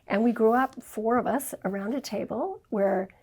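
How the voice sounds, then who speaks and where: An older woman born in Scotland gives a candid, reflective interview, pausing with fillers. Her voice is gravelly and husky. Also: a little high, darkish tone. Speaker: interview guest, documentary filmmaker. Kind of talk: conversational interview.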